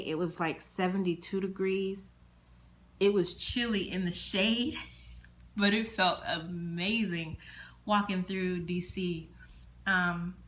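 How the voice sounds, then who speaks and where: A woman's voice: lowish voice, fairly bright tone. A middle-aged woman chatting casually to her camera at home, rambling and repeating words as she thinks aloud. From born in Germany, military child. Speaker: vlogger. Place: indoors at home, windows open.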